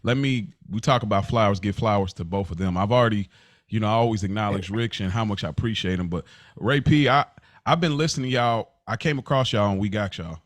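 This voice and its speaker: A man's voice: fairly low pitch, quick pace, no room sound. Dry-sounding male podcast co-host. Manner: informal, slangy, appreciative. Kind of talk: casual chat.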